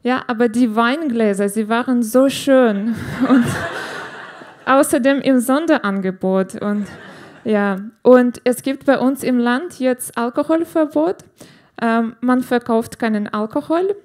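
A woman in her thirties from Ukraine, with a Ukrainian accent, doing a stand-up set on a club stage into a handheld mic, halting and restarting as she searches for words. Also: fairly high pitch, a little mellow. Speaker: stand-up comedian. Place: comedy club stage with live audience.

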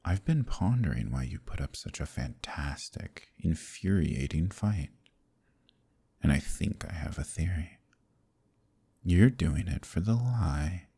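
A man voicing an arrogant, scheming Demon King who taunts and menaces in an ASMR roleplay, often dropping to a husky whisper. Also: low voice, big pitch swings, takes it slowly, mellow, heavily acted. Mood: scornful. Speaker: voice actor.

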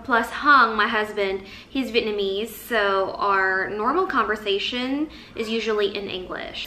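A woman's voice: fairly high pitch, roomy sound, slight background noise. A woman in her thirties from the Philippines, with Tagalog as her first language, chatting casually to the camera in her living room and gesturing as she talks. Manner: chatty, expressive hand gestures. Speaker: lifestyle vlogger. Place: living room with Christmas tree.

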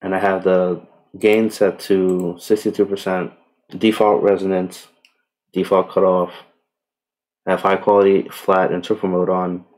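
A man giving a casual software tutorial in a relaxed, unhurried way with tentative asides. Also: low-pitched. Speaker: tutorial presenter. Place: indoors, voice-over on screen recording.

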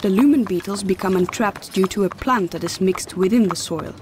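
A woman's voice: medium pitch, a little fast, close to an everyday voice. A woman as a patient fantasy mentor. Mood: patient, instructive. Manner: formal, patient explaining. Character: kindly, instructive female mentor of a fantasy light-gathering trade. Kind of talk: audio drama acting. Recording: clearly noisy background of clicks and horse-like sounds.

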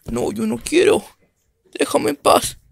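A small, stubborn male creature, kept as a pet against his will, voiced by a woman. He protests and startles easily, his slow words coming out gravelly and husky. The voice stays mid-pitched for a woman, with a rough edge. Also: dry recording, exaggerated voice. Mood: refusing.

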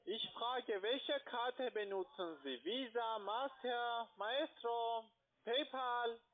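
A man with a foreign accent, not a native speaker, gives an insistent phone sales pitch in halting phrases. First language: not German. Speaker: call-centre investment salesperson. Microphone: phone call. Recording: tinny narrow-band phone line.

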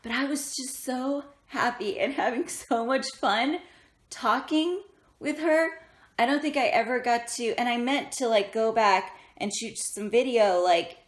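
A woman in her thirties chatting casually and excitably, with a self-deprecating, giggly manner, as a craft vlogger. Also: fairly high pitch, a little slow. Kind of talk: casual chatty haul vlog. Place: indoors in a bedroom, sitting up in bed. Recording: roomy echo, faint noise, handling clicks.

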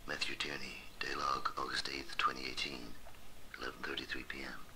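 An actor playing a weary, uneasy scientist on a Mars base, brooding in a hushed, gravelly voice for a horror game audio diary. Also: male voice, level tone, a little fast, exaggerated voice. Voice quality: very deep, gravelly. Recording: noisy game audio log.

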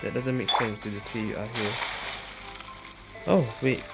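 A woman comments casually on a game she is playing, in a very low voice. She talks slowly and thinks aloud in short remarks, with loud game music behind her. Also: vocal fry, rough recording. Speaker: game streamer. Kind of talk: casual let's-play commentary.

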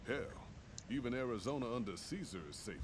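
An actor playing a gruff, plain-spoken middle-aged caravan master in a video game, with a deep drawl. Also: male voice, animated, quick pace, background noise, lightly acted.

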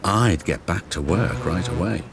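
A man's voice: deep voice, unhurried, gravelly. A man voicing a worried, sensible engine friend who chides anxiously, in a children's storybook. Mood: cautioning. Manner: anxious, admonishing.

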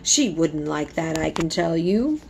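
A woman's voice: low-pitched, sing-song, measured pace, vocal fry, darkish tone, a little hiss or hum, exaggerated voice, poor audio. A woman voicing a timid, flustered young maidservant with a faltering, deferential manner in a storybook. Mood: nervous, pleading.

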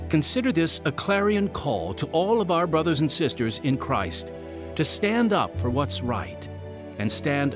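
Male radio narrator for scripted ministry announcements; mid-range voice, rhetorical and expressive, set over music. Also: medium pitch. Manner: earnest, emphatic, rhetorical.

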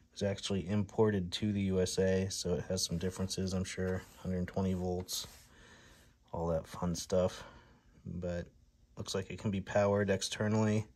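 A man giving a casual, plainspoken hands-on demonstration in an indoor workshop. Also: sits low. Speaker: host of a radio repair video. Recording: clear background noise, workshop ambience.

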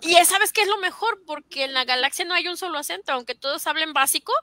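Woman, Mexican Spanish accent, high bright voice, dry close sound, enthusiastic fan chat on a video call. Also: fast, dry recording. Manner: enthusiastic, emphatic agreement. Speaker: podcast co-host.

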